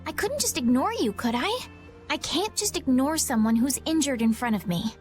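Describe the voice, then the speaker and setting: A woman playing a gentle, troubled young healer in a video game, speaking earnestly. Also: high-pitched, expressive, moderate pace. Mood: earnest, hurt. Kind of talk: video game dub acting. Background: background music.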